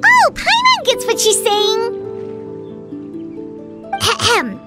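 Squeaky, excitable little fairy sidekick. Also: female voice, slow, exaggerated voice. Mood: proud, boastful. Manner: perky, excitable, refers to self by name. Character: tiny floating childlike fairy companion, cheeky, eager, boastful. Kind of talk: anime-style video game voice acting. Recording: loud game music under the voice. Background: prominent orchestral game music.

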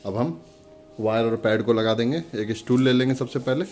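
A man's voice: mid-range voice, normal speed, music in the background. An Indian man giving a casual step-by-step product demonstration, instructing viewers in a friendly, direct address.

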